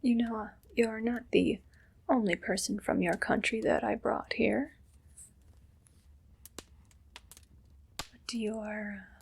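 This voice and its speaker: Breathy, whispering haughty evil queen played by a woman. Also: on the high side, darkish tone, full character voice. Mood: cruelly taunting. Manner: slow, disdainful, deliberate. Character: haughty, condescending evil queen, cruelly teasing a servant. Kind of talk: whispered ASMR roleplay.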